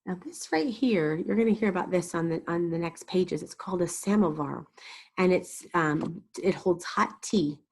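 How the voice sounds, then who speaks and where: A woman's voice: on the low side, moderate pace, gravelly, slightly rough. A woman storyteller reading a picture book aloud expressively and dramatically for young children. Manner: dramatic, explains to young listeners.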